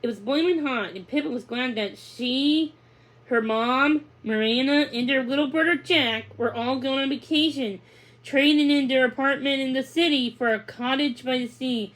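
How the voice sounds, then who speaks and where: A woman narrating a children's book aloud, reading haltingly with stumbles and repeated words. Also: high-pitched, a bit bright, rough recording.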